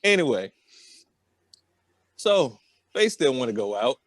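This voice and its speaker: A man's voice: medium pitch, very animated, takes it slowly, no room sound, clean studio sound. Casual American man spinning a loose story. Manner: loose, slangy, repeats filler phrases like "or whatever". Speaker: podcast host telling a personal story. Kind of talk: casual, rambling comedic storytelling.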